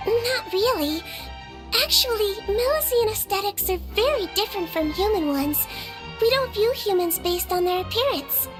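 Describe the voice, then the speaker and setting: A woman voicing a small, gentle young girl nurse of a fairy-like species, polite and composed, in anime video game dialogue. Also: very high-pitched, animated, moderate pace. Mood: calm, sincere. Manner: composed, courteous, matter-of-fact. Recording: music bed behind the voice.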